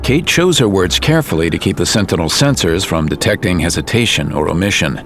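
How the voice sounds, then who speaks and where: A male narrator with a deep voice reads a scripted audio drama. His storytelling is serious and measured, and music runs clearly underneath. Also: lively intonation, fairly bright tone.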